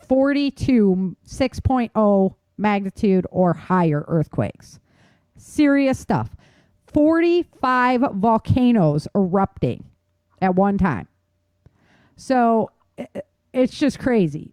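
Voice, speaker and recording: A woman with a mellow, dark-toned voice talks as a show co-host. She comments on the news in a conversational but opinionated and emphatic way. The sound is dry, with very little room around her. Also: medium pitch, no room sound. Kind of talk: informal news commentary and chat.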